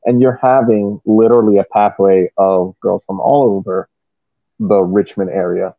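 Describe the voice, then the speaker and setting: An American man talking casually on a soccer podcast, reasoning aloud in a halting way and often repeating his words. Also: fairly low pitch, steady tone, creaky, no room sound, narrow, tinny audio. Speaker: soccer podcast panelist and youth coach. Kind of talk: casual sports podcast discussion.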